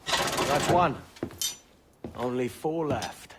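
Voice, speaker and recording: An actor playing a brash, sceptical young pirate captain in a video game cutscene. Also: male voice, medium pitch, drawn-out words, heavy background noise. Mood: wry, reluctant.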